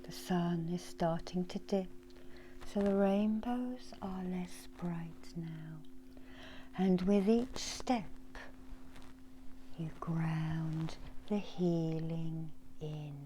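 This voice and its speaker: A middle-aged woman leading an ASMR guided meditation in a soft, breathy, mostly whispered voice with long soothing pauses. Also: fairly low pitch, steady tone, a little hiss or hum, poor audio. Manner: slow, hushed, soothing delivery with long pauses. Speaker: reiki healer and guided meditation host. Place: small indoor room.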